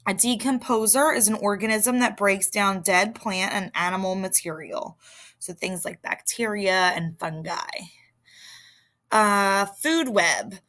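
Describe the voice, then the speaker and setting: A woman's voice: medium pitch, unhurried, bright, forceful tone. A woman with a Philadelphia accent giving an informal lesson as a teacher, explaining things conversationally and speaking directly to students. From Philadelphia area, United States. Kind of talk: informal recorded vocabulary lesson.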